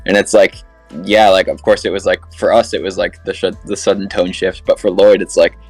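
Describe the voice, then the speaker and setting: A man talks casually as a podcast panelist, leaning often on the word "like". His voice is low and very flat in intonation. Faint music plays behind him. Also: a little fast, husky. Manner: filler-heavy, heavy use of "like". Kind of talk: casual fan discussion.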